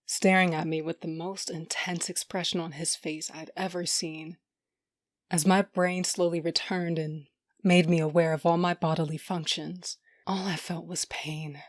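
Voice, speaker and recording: A tough, wounded smuggler heroine in an audio drama. Her voice is fairly low, intimate and sardonic, recorded dry. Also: flat delivery, a little slow, dry recording. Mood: wry, tender narration. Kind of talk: first-person audio drama narration with dialogue.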